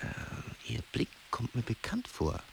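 An actor playing a brooding, solitary man in a literary radio drama, speaking in a halting, reflective way. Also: medium pitch, huge pitch swings, vocal fry, slight background noise, full character voice. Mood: hesitant, reflective. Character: brooding solitary man recalling grief and lost love.

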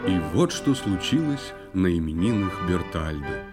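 A man's voice: low-pitched, big pitch swings, unhurried, over music. A man narrating a children's audio play, declaiming a verse tale with expression.

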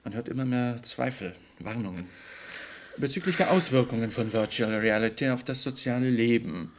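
An actor playing a cautious, diplomatic company executive in a radio drama, measured and slightly hesitant. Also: male voice, medium pitch, noisy background, lightly acted.

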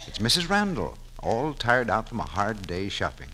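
A man's voice: fairly low pitch, huge pitch swings, moderate pace, bright, forceful tone, rough recording. A man announcing and selling in scripted 1940s radio style, polished and emphatic. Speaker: announcer, pitchman. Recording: vintage 1940s radio, faint noise.

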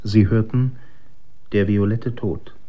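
A man's voice: fairly low pitch, steady tone, slow. A man giving a closing announcement for a radio drama in the role of announcer. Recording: dull, muffled radio recording.

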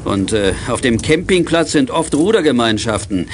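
A man's voice: mid-range voice, very animated, normal speed, background noise, close to an everyday voice. An actor playing a genial, easygoing middle-aged father in a children's radio play, speaking in a jovial, reassuring way. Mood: cheerful. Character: genial, easygoing father leading a group of kids.

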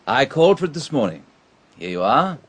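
Radio drama: gruff-mannered chap, medium pitch, very animated, grumbling and emphatic. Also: male voice, a little fast. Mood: casual. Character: bluff, grumbling English gentleman, scornful of women.

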